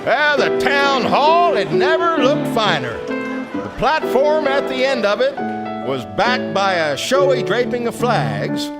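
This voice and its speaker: Man acting as a lively, theatrical storyteller over music. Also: very high-pitched, takes it slowly, exaggerated voice. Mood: wry storytelling. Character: wry storyteller narrating a small-town satire. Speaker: narrator. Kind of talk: literary storytelling narration performed live for radio. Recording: live stage radio recording with music.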